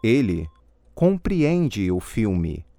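A man reading model answers in a language course drill, slow and clearly enunciated. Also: fairly low pitch, big pitch swings, warm tone, no room sound.

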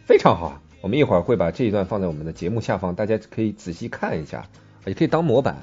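A husky-voiced man with a Mandarin Chinese accent teases his co-host as he explains English words in a podcast lesson. His pitch moves up and down a lot. The recording is dull and lo-fi, with faint music underneath. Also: sing-song, very fast, a little mellow. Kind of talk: bantering bilingual lesson chat.

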